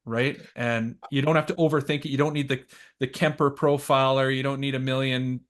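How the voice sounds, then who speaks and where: A man's voice: medium pitch, flat delivery, brisk. A man hosting a podcast interview, talking in a casual, eager way and often checking in with "right?". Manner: eager, affirming, frequent "right?" and "yep". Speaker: podcast host.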